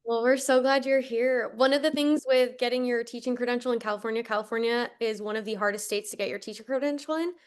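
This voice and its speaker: A woman hosting a teacher training, talking briskly and reassuringly in a casual online session. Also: fairly high pitch, steady tone, clean tone. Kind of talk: casual webinar talk.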